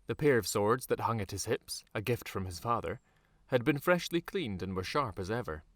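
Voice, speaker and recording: A man reading a dark fantasy audiobook in a measured, dramatic storytelling manner with deliberate pauses. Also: on the low side, expressive, dry recording, studio quality. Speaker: author reading his own novel. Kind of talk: scripted audiobook narration.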